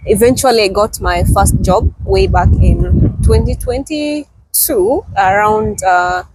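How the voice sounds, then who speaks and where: A woman's voice: mid-range voice, unhurried, a little mellow, slight background noise, poor audio. A woman from Kenya, a guest teacher, telling her story in a friendly, chatty interview and laughing often. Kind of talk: casual interview chat. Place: outdoors in the wind.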